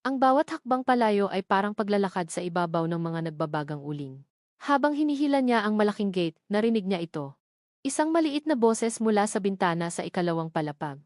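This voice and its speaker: A woman storyteller narrates a scripted romantic drama. Her delivery is measured and sentimental, with a solemn feel. The voice sits at an average pitch and is recorded very dry, without room sound. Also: very animated, darkish tone, no echo.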